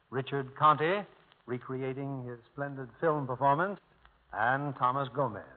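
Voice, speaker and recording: A man hosting a 1950s radio drama, giving scripted narration in a formal, measured, theatrical manner. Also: mid-range voice. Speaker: producer and host. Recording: old tinny radio broadcast.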